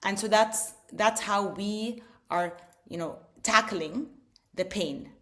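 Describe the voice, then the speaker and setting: A woman's voice: mid-range voice, takes it slowly, bright, forceful tone. Earnest middle-aged woman explaining. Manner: earnest, explanatory, frequent restarts and "you know". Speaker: edtech founder, interview guest. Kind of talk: casual interview conversation.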